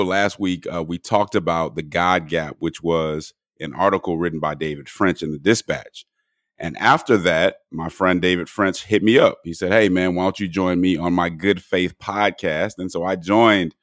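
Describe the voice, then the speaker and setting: A man hosting a podcast in relaxed conversational talk, his voice a little hoarse and cracking. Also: deep voice, no echo, studio quality. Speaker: podcast host. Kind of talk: casual podcast chat.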